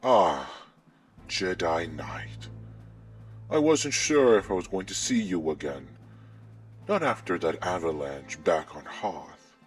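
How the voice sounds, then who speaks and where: A man playing a menacing, commanding Sith lord in a sci-fi audio drama, speaking in a measured, imperious way with a cold, taunting edge. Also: sits low, big pitch swings, full character voice. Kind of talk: scripted sci-fi audio roleplay acting. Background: background music.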